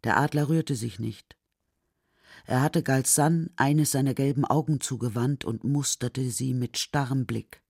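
A woman narrating a children's radio play in a measured, scripted storytelling style. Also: very deep voice, gravelly, darkish tone, slightly rough, dry recording, studio quality.

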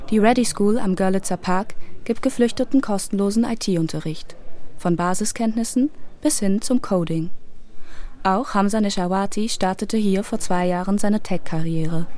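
A female reporter narrating a scripted radio feature in an even, clear reading. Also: medium pitch.

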